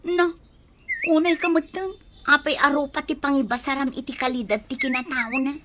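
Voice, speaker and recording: A woman's voice: high-pitched, steady tone, normal speed, a bit bright, slight background noise. An actress playing a generous, trusting woman in an Ilocano radio comedy drama, speaking with an Ilocano accent. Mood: calm, defensive. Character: generous, trusting woman who lends money to friends.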